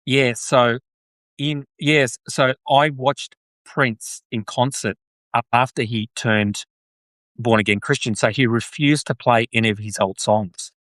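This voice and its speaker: A man's voice: mid-range voice, fairly even intonation, measured pace, a bit bright, no echo. A man with an Australian accent hosting a casual live podcast chat, chatty, with lots of ums and restarts. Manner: chatty, frequent ums and self-corrections. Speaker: podcast host.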